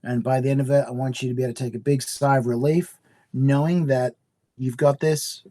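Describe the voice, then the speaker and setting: A middle-aged man from Australia hosting a live home-buying webinar, upbeat and chatty with frequent fillers, a little hoarse from a cold. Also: medium pitch, steady tone, fairly quick, dark tone.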